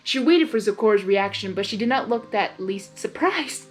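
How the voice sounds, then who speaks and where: A woman's voice: medium pitch, expressive, moderate pace, faint music behind. A woman hosting and narrating a story reading expressively, with casual asides. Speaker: host and narrator of a blind reading.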